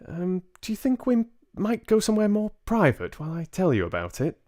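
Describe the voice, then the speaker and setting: Man putting on a high-voiced, careful old grandmother. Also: huge pitch swings, brisk, a little mellow. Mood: careful, gentle. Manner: delicate, careful, insistent. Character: polite but firm elderly grandmother.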